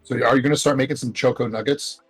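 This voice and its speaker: Man, average pitch, wry wisecracking, casual gaming chat, soft music behind. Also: fairly even intonation, fast. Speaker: co-commentator on a game series.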